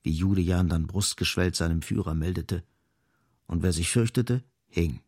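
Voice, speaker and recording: Male narrator for literary radio play: low, slightly rough voice, dry close sound, deliberate phrasing. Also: darkish tone, dry recording. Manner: measured, deliberate, emphatic repetition.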